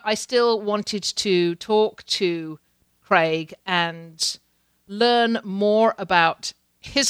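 A woman's voice: medium pitch, fairly bright tone, smooth, no room sound. Chatty woman hosting an interview. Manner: chatty, laughs often, repeats words. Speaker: podcast host. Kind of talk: casual interview chat. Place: home in Canada.

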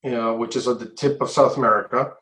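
Middle aged guy from colombia being interviewed, hesitant measured talk, mid pitch, roomy echo. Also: fairly even intonation, fairly bright tone. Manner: halting, measured, frequent hesitations. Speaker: interview guest, entrepreneur. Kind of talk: conversational interview answers. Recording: echoey, roomy sound.

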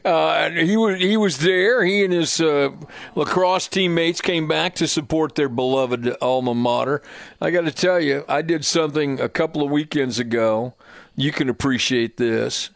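An older man hosts live sports talk radio in a dry, close studio sound. His voice sits a little high and has a gravelly creak, and he talks in a folksy way. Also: normal speed, no echo. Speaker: sports radio host and coach.

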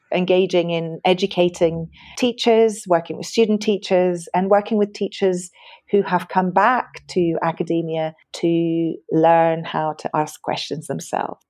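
A woman's voice: on the low side, darkish tone. Tired woman researcher from Greece. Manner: thoughtful, many fillers and restarts. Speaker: guest researcher in education. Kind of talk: conversational interview.

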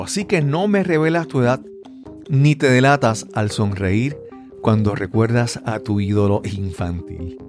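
A man's voice: mid-range voice, darkish tone. A man hosting a podcast, reading a scripted introduction. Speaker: podcast host.

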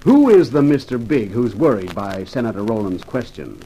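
An actor playing a shrewd, quietly menacing male police chief in a 1950s radio crime drama, dry and sarcastic. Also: fairly low pitch, sing-song, moderate pace. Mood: accusing, sarcastic. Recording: slightly noisy vintage radio recording.

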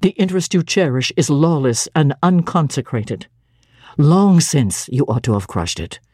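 A woman voicing a stern, cold male clergyman who speaks in a low, measured and severe way. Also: lively intonation, a little mellow, slightly rough, dry recording, studio quality. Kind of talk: dramatic reading of a classic novel.

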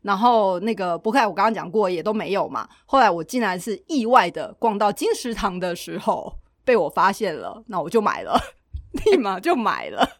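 A woman's voice: medium pitch, sing-song, normal speed, dry recording, clean studio sound. A woman with a Taiwanese Mandarin accent chatting casually and expressively as a podcast host.

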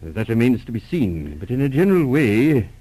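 An actor playing a grave, formal English lord and cabinet minister near sixty in a 1940s radio drama, measured and a little hesitant. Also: male voice, mid-range voice, sing-song, mellow, slightly rough. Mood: formal, guarded. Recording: old radio drama recording.

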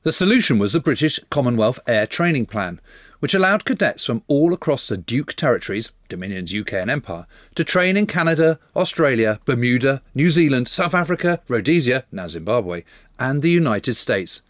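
A man delivers scripted history narration with a lot of movement in his pitch. His voice sits in the middle register, emphatic and measured, recorded close and dry. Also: big pitch swings, dry recording.

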